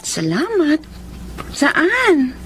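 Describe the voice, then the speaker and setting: A woman playing a proud, loving young bride-to-be in a Filipino radio drama, earnest and expressive. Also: sits high, extremely slow, over music, exaggerated voice, poor audio. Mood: tender. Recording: faint background ambience noise.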